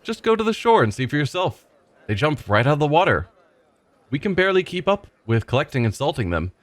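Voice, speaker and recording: A man's voice: a little high, big pitch swings, brisk, no room sound, voice pushed up. A man voicing a cheerful, simple-minded male village fisherman who rambles and repeats himself proudly. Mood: boastful. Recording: faint game music behind.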